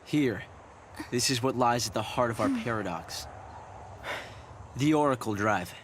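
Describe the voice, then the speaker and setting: An actor playing a thoughtful young research director in a video game, explaining things in a measured, earnest way. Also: male voice, medium pitch, lively intonation, slight background noise, natural voice. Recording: loud orchestral music behind. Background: game music.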